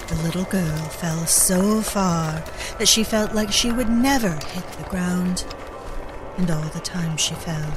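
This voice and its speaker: Slow, grave woman as a female storyteller, low-voiced. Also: close to an everyday voice. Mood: sorrowful. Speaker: narrator of an audio drama. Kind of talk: dramatic scripted narration. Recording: loud music under the voice.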